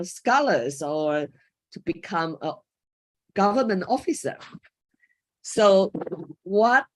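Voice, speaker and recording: A middle-aged woman from Taiwan, a Chinese speaker, giving a thoughtful panel talk as a coach and former teacher over a video call, often pausing with ums. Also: mid-range voice. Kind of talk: online panel presentation.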